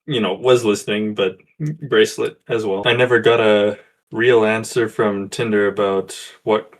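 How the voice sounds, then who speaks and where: A man playing a tabletop role-play game, speaking in character in a halting, deliberate way. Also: on the low side, steady tone.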